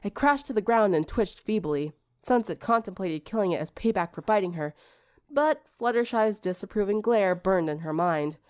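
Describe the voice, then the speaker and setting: A woman narrates fiction in an expressive audiobook style. Her medium-pitched voice moves up and down in a lively way, and it sounds dry, with very little room around it. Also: normal speed, no echo. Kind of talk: expressive scripted story narration.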